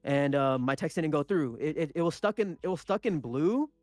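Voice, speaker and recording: Young male podcast co-host, Punjabi first language, loose and sweary storyteller, slightly higher voice, dry close mic. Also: expressive, normal speed, darkish tone, no room sound, faint music behind. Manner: rambling, many restarts and swearing. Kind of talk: casual storytelling chat.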